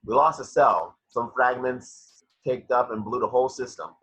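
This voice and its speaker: Man playing a gruff ship's engineer: bright, forceful but husky voice, blunt and grumbling, lo-fi sound. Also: medium pitch, normal speed. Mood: disgruntled. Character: gruff, grumbling working-class male spaceship engineer. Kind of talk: amateur screenplay table read.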